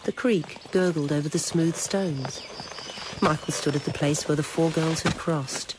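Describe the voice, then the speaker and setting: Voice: mid-range voice, a little slow. A narrator reading literary, scripted prose for a radio drama. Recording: noisy old radio recording.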